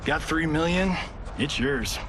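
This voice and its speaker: Cocky wisecracking Hollywood action star. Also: male voice, on the high side, takes it slowly, noisy background. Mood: smug, teasing. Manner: glib, joking, self-satisfied. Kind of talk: video game cutscene acting.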